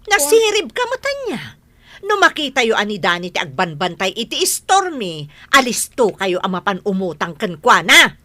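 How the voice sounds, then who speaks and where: A woman with an Ilocano accent playing a stingy, sharp-tongued village wife in a radio comedy drama, scolding and exclaiming. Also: medium pitch, wildly swooping pitch, normal speed.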